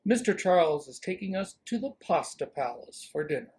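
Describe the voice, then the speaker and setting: A woman voicing a brisk, stern father in a children's storybook. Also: fairly low pitch, sing-song, darkish tone.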